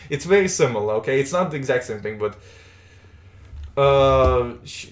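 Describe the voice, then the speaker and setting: A young man from Latvia talks to his stream in a loose, rambling way, often restarting his sentences. His voice sits at an ordinary middle pitch. The recording carries a noticeable roomy echo. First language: Russian. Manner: rambling, chatty, many restarts. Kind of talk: casual live-stream chat while gaming.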